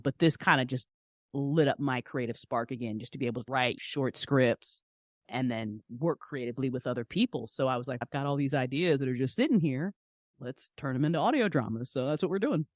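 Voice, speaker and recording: A woman's voice: very deep voice, fairly quick, darkish tone, no room sound, studio quality. A woman guest on a podcast, chatting casually in a frank, emphatic and encouraging way. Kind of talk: casual chat.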